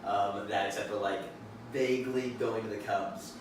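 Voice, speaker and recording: A man's voice: on the low side, steady tone, normal speed. A male guest talking loosely and at length, leaning on "like" and "um" as he goes. His voice comes from a distance in a very echoey room. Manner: rambling, filler-heavy, keeps saying "like". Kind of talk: casual interview chat. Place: large echoey space by a pool. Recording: echoey and distant with faint noise.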